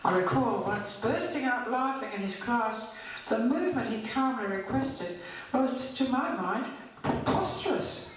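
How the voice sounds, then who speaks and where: An older woman recites a poem at a slow, deliberate pace. Her voice is heard from a distance in a very echoey hall. There is some background noise around it. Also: fairly high pitch, lively intonation. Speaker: poet reading her own poem. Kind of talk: scripted poetry recital.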